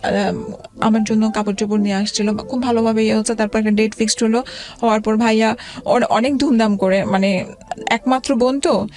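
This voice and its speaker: A woman in her forties telling her life story as an interview guest, halting and hesitant, with many fillers and restarts. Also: a little high, steady tone, darkish tone.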